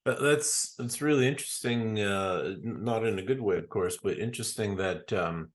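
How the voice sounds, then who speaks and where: A man hosting an interview, speaking in a halting way with many fillers and restarts. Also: lowish voice. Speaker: interview host. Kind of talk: casual interview.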